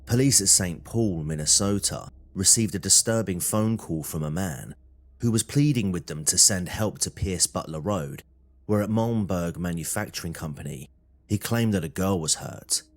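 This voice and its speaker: A man's voice: sits low, expressive, moderate pace. A man reading scripted true crime documentary narration.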